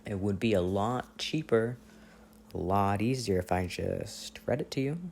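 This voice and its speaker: A man playing an affectionate, playful boyfriend on a long-distance phone call, in intimate, casual ASMR roleplay with gentle teasing and hesitant pauses. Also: on the low side, gravelly, slight background noise. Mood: earnest, chatty. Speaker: audio roleplay performer.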